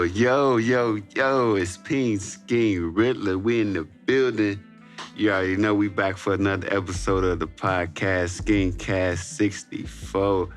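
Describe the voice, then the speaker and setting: A man hosting a podcast in casual, slangy banter, hyping up his guest and cracking jokes. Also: deep voice. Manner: hype, joking, slangy banter. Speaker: podcast host. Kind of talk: casual interview chat.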